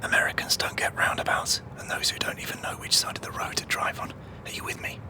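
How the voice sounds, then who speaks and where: A man's voice: quick pace, whispered, natural voice. A man playing a blustery, scheming British intelligence agent who dithers and repeats himself in a comedic audio drama. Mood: conspiratorial whisper.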